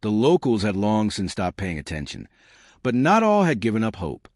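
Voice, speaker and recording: Low-voiced man narrating a horror story. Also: wildly swooping pitch, normal speed, no room sound, studio quality.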